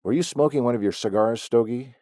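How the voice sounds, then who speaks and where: A man's voice: mid-range voice, fairly quick, dark tone, no room sound, studio quality, voice pushed up, natural voice. A man voicing a stern, impatient middle-aged ranch boss who talks tersely. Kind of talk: audiobook character voice.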